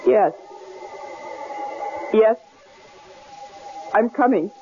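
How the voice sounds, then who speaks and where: A woman playing a frightened but spirited wife in a radio drama, speaking haltingly and nervously. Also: on the high side, music in the background. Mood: reluctant, resigned. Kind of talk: 1970s radio drama acting. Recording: noisy, dull 1970s radio broadcast.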